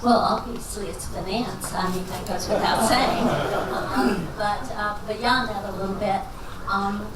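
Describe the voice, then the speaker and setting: A woman from Texas speaks as a guest finance professor at an evening lecture, folksy and quick to laugh at herself. Her voice comes from far off in a reverberant hall, with steady noise under it. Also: mid-range voice. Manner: folksy and self-deprecating, jokes and laughs as she talks. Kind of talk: answering questions at a lecture.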